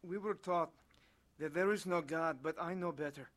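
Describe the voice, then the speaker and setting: A man's voice: fairly high pitch, normal speed, a bit bright, lightly acted. An actor playing an earnest, haunted young Russian defector who tells his story in a dramatized testimony. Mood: yearning. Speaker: first-person narrator of a dramatized testimony. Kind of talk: dramatized scripted narration.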